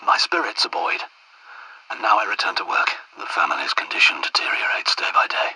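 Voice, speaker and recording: An actor playing an anxious, earnest English royal doctor in a video game outbreak, well-spoken, polite and hesitant, with a breathy, husky voice. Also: male voice, deep voice, sing-song, a little fast, bright, forceful tone, heavily acted, low-quality recording. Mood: weary, grave. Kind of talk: dramatic game dialogue and audio diary entries.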